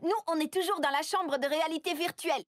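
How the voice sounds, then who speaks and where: Woman playing a high-voiced eager cartoon boy. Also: quick pace, dry recording, clean studio sound, natural voice. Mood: reassuring. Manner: chirpy, reassuring, explanatory. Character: eager, confident young boy hero. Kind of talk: French cartoon dub acting. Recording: loud music behind.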